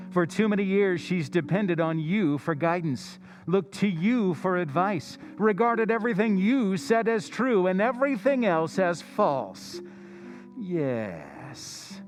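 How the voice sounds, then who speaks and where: An actor playing the Whistler, an all-knowing male narrator who taunts a jealous woman in a radio drama. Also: fairly high pitch. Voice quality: insinuating, taunting.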